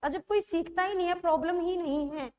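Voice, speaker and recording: A woman teacher with a high voice leads an online grammar class, her throat sore. She keeps coaxing the class with quick check-ins and slows right down to spell out examples. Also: warm tone. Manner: coaxing, checks understanding often; writes examples while speaking, slows to dictate them.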